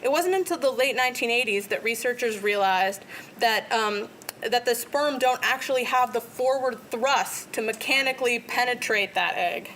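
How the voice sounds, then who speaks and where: A woman giving an academic lecture in a lecture room, with a loud voice and a lively way of explaining. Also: on the high side, normal speed, slight background noise. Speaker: guest lecturer. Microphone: podium microphone.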